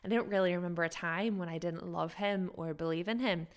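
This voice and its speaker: A woman's voice: on the low side, brisk. A young adult woman with a mixed American, Scottish and Northern Irish accent, co-hosting a casual podcast chat in a chatty, self-deprecating way. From Japan-born, raised in America. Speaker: podcast co-host.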